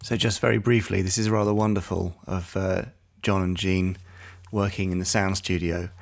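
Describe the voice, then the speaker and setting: Male podcast host, friendly, teasing, hesitant fillers, lowish voice. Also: flat delivery, moderate pace, gravelly. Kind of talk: casual interview.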